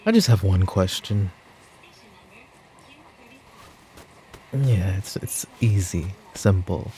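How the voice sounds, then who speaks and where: A man playing a weary, exasperated mafia boss in an ASMR audio drama, talking in a dry, halting way. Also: low voice, darkish tone, slight background noise, poor audio. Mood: dry exasperation. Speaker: voice actor in audio roleplay.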